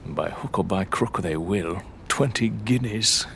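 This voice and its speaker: An actor playing a greedy, imperious aristocratic lord in an audio drama, giving haughty, clipped commands. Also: male voice, on the low side, measured pace, gravelly, fairly bright tone, a little hiss or hum. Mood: coldly goading.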